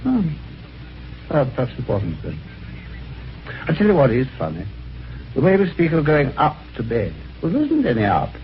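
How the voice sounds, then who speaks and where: A jaunty british chap in an old radio mystery, super swoopy voice, wry, dull hissy recording. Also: male voice, mid-range voice, wildly swooping pitch, moderate pace, pauses often. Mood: jovial, musing. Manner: jaunty, wry, old-fashioned gentlemanly phrasing. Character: genial, chatty, helpful husband telling his adventure. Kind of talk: 1950s radio drama acting and first-person narration.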